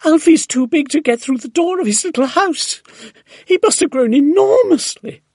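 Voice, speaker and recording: A storybook narrator playing an excitable, doting lady who exclaims and gushes over her pet. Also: mid-range voice, measured pace, husky, no room sound, studio quality, voice pushed up, full character voice. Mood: alarmed. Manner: exclamatory, gushing. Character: excitable, gushing, doting middle-aged lady tortoise owner.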